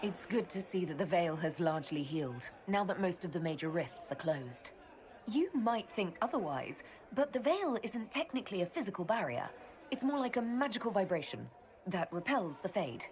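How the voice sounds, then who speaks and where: A woman's voice: lowish voice, very animated, moderate pace, some chatter behind. A woman playing a composed, scholarly female mage leader in a video game, speaking in an articulate, polished way. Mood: chatty, informative. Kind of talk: video game dialogue acting.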